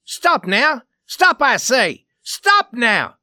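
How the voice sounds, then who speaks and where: A man voicing an exasperated old man in a story, loud and forceful as he gives orders. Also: very high-pitched, big pitch swings, drawn-out words, no room sound, studio quality, pitched above the performer's own voice, heavily acted. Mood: commanding.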